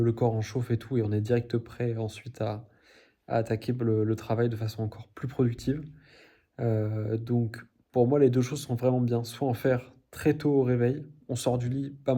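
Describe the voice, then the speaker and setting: A man's voice: mid-range voice, mellow. A young man chatting casually to the camera in a daily video diary, rambling with many fillers and restarts and laughing at his own slips. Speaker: vlogger.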